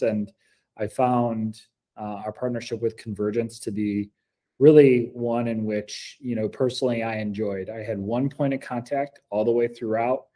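An American man in his forties giving earnest, measured testimonial answers as a school coordinator, on a video call from an office meeting room. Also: medium pitch, dark tone. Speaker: school district program coordinator. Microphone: laptop video call.

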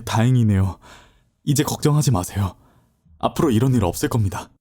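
A man's voice: medium pitch, lively intonation, brisk, lightly acted. A man playing a confident young law student who faces down thugs, then speaks politely. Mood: gentle. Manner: cool and assertive, then polite and gentle. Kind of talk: amateur audio drama acting.

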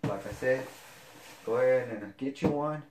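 A man, showing kitchen gear in a casual home video, talking haltingly with many fillers. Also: mid-range voice, darkish tone, a little hiss or hum. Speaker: fitness vlogger. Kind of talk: casual product demo. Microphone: camera on counter.

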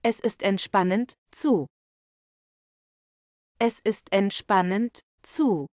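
Slow, dry-voiced woman reading German phrases. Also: medium pitch, darkish tone, no room sound. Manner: carefully enunciated, each phrase read twice. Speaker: German example sentence reader. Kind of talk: slow, clear model sentences for learners.

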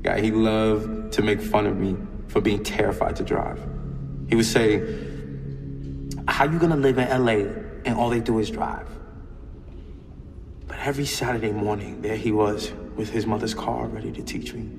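Grieving young man, audio drama. Earnest, halting, creaky voice, music underneath. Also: lowish voice, steady tone. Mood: nervous, fond. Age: young adult. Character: grieving young man confessing love at a school reunion. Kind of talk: audio drama acting, halting heartfelt speech. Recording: echoey hall reverb, music behind.

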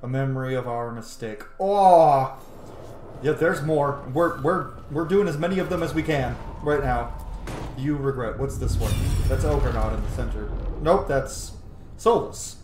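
A man's voice: medium pitch, unhurried, a little mellow, reverberant, slight background noise. A man in his thirties giving casual commentary on a game he is playing, recorded indoors at home. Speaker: gamer narrating a playthrough. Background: game music faintly behind.

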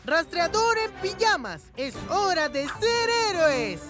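A confident little hero boy speaks in Latin American Spanish. The voice is very high, in a cartoon dub with loud music behind. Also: sing-song, takes it slowly, full character voice. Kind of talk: cartoon dubbing.

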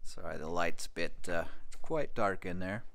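A man's voice: sits low, moderate pace, vocal fry, fairly bright tone. A man narrating off camera as he walks through an abandoned building, guessing at what each room was. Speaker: travel vlogger. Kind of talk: casual walkthrough narration.

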